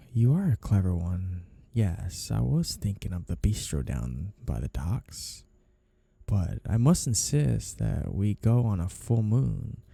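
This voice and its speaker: A man performs a sly, two-faced mafia boss in a period gumshoe ASMR roleplay. He speaks slowly in a low, very velvety dark voice. His manner is flirtatious and insinuating, full of mock innocence, recorded close and dry. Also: gravelly, dry recording, full character voice. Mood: coy, flirtatious. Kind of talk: ASMR roleplay, noir period-piece monologue to a listener.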